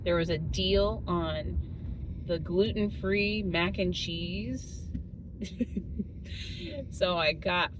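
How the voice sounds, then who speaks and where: A woman's voice: lowish voice, extremely slow, long pauses. A middle-aged woman chatting informally to camera as a family vlogger, in a casual, talkative way. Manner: informal, chatty, laughs often. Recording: steady car and road noise.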